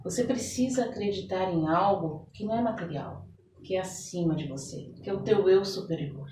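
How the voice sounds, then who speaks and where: A woman's voice: mid-range voice, lively intonation, normal speed, warm tone. A woman with a Brazilian Portuguese accent explaining her practice in a conversational interview, reflective and often checking for agreement. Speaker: interview guest, holistic therapist and folk blesser. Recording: echoey room, distant mic, faint background noise.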